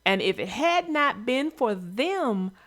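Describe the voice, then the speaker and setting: Animated, emphatic woman with a highish voice. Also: slow pace. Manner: emphatic, advice-giving. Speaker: read-aloud show co-host. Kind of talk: casual discussion of a children's story.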